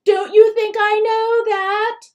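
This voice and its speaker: A woman voicing a furious, exasperated middle-aged mother who yells and scolds. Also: a little high, lively intonation, normal speed, lightly acted. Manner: yelling, sharp, scolding.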